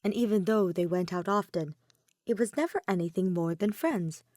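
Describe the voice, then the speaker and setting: A woman's voice: mid-range voice, normal speed, darkish tone, no echo. Woman narrating fiction, very dry sound. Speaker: podfic narrator. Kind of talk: scripted story narration.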